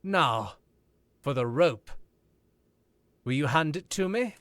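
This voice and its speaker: A man's voice: fairly high pitch, expressive, slow, a bit bright, no echo. A man playing a wry, suspicious author friend in an old-time radio drama recreation. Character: dry, knowing older author Rupert.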